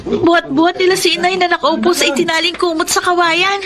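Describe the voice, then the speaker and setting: A woman's voice: very high-pitched, little pitch movement, a little fast, a loud crowd. A woman playing a devoted, anxious, respectful young schoolgirl in an emotional Filipino radio drama. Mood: anxious relief.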